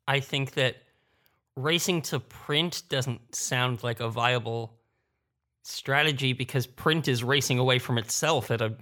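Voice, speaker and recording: A man's voice: medium pitch, a little slow, pushed and bright. A man speaking candidly in a casual roundtable discussion, thinking aloud and correcting himself as a creator on the panel. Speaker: audio drama creator and sound designer, roundtable panelist.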